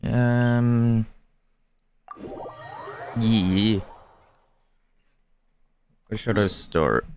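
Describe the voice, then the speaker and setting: A man's voice: fairly low pitch, drawn-out words, pauses often, darkish tone, slight background noise. A man giving casual, joking, sometimes profane commentary while playing a video game. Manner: laid-back, joking, profane. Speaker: game streamer.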